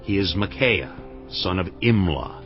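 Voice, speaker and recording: A man's voice: low-pitched, animated, measured pace. An actor playing a proud, resentful king in a dramatized audio Bible, with an imperious, theatrical manner. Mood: bitter. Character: proud, resentful king of Israel, commanding and impatient. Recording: dull lo-fi audio over music.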